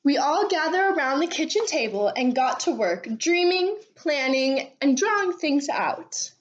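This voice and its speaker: A woman reading a children's storybook aloud expressively, with animated delivery and drawn-out phrase endings. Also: sits high. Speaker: read-aloud storybook reader. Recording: dull, lo-fi sound.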